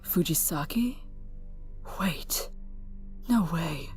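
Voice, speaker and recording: Determined female agent for anime drama, slightly low, curt and in charge woman actor. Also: lively intonation, drawn-out words, darkish tone, soft background music. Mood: disbelieving. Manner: curt, commanding. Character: stern, determined female agent leading an interrogation. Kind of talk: anime fan audio drama.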